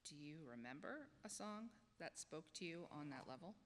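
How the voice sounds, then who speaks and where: A woman's voice: sits low, very animated, moderate pace. A woman leading a church congregation through a guided meditation with simple, spaced-out questions. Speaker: lay leader of guided meditation. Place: church sanctuary, speaking to congregation. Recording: echoey church hall, noticeable background noise.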